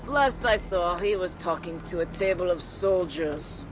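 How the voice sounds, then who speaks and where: An actor playing a wry, world-weary tavern keeper in a video game, drawling with amiable sarcasm. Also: male voice, high-pitched, unhurried, background noise. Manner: sardonic, drawling. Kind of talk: video game character acting. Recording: music behind the voice.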